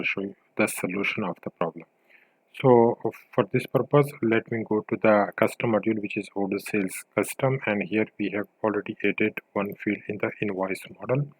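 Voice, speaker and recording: A man with a fairly low voice walks through a software tutorial step by step. His delivery is halting, built from short pieced-together phrases, and the sound is dry with little room in it. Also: no echo, low-quality recording. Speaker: software developer giving a tutorial.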